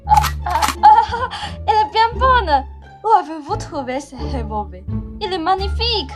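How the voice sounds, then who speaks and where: A woman's voice: extremely high pitch, very animated, a little slow, over music, exaggerated voice. A woman playing a brash, scornful socialite in a student radio play. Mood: amused.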